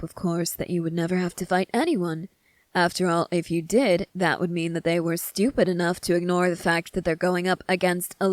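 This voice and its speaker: A woman playing a cunning, self-assured young prince in an ASMR roleplay, speaking in a measured, formal, teasing way. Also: fairly low pitch, no room sound, natural voice. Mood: smug, composed.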